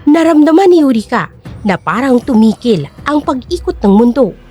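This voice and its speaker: A woman's voice: high voice, very animated, moderate pace, dark tone, breathy edge, soft background music. A woman narrating a dramatic scripted story in Tagalog.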